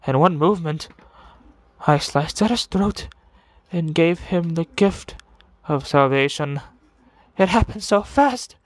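A man voicing a deranged serial killer who confesses with morbid relish in a theatrical, brooding monologue full of dramatic pauses, in the style of a video game villain. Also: a little high, animated, no room sound. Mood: manic, agitated. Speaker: amateur voice impressionist.

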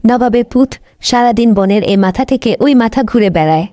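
A woman's voice: fairly high pitch, animated, normal speed, close to an everyday voice. A woman voicing a grumbling, overworked mother animal who scolds and complains in a children's cartoon. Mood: exasperated, complaining. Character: grumbling overworked mother animal, nagging about her idle husband.

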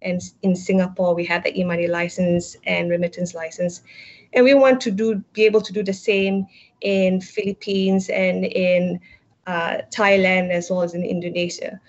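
A woman's voice: on the low side, little pitch movement, moderate pace. A middle-aged woman explaining her work as a panelist on a virtual panel, speaking into an earphone headset over a video call. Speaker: panelist, company executive. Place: book-lined room indoors, over video call. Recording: dull, lo-fi video call audio.

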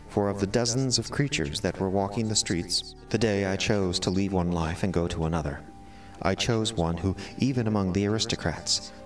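An actor playing an eloquent, melodramatic cat who sees himself as a prisoner, speaking in formal, florid, theatrical monologue. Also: male voice, low-pitched, distant mic. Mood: proud, resentful. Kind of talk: dramatic first-person audio drama monologue. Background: music.

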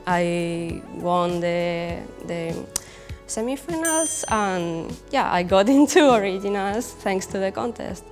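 A young woman from Spain with a Spanish accent, answering interview questions casually and haltingly as she searches for words. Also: medium pitch, expressive, big-room sound. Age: young adult. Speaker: comic creator being interviewed. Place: indoor brick-walled studio set. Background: music.

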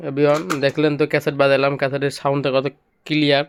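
A man's voice: mid-range voice, completely flat delivery, quick pace, music in the background. A man with a Bangladeshi accent giving an informal, repetitive product demonstration as a seller, insistently listing features. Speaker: second-hand electronics seller presenting products.

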